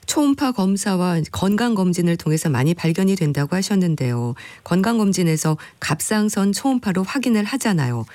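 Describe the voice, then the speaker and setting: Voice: medium pitch, darkish tone, dry recording. A radio interviewer politely asks short questions on a health program.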